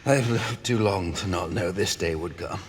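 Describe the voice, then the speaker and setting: An actor playing an ancient, world-weary male villain in a video game, bitter toward humanity, speaking gravely and deliberately. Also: sits low, a little hiss or hum, natural voice. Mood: weary, resigned. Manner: slow, grave, deliberate delivery. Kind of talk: dramatic video game acting. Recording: long cavernous reverb.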